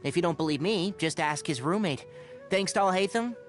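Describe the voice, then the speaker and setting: A man voicing a young fox-eared forest ranger in a video game, a scholarly mentor who talks in an articulate, wry, gently lecturing way. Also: sits high, fairly quick, close to an everyday voice. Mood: wry exasperation. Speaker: video game character voice actor. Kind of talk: game character voice lines, conversational monologue. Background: background music.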